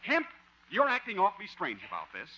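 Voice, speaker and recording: A man's voice: high voice, wildly swooping pitch, brisk, pushed and bright, exaggerated voice. An actor playing a pompous, bossy male station manager who lectures his employee, in 1950s radio comedy style. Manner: pompous, bossy, self-important. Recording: muffled 1950 radio broadcast.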